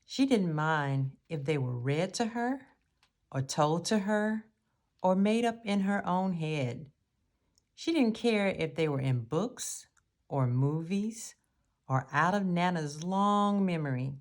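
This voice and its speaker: Female storybook reader. Low register, unhurried pace, measured phrasing for young listeners. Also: lively intonation. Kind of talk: read-aloud children's story narration.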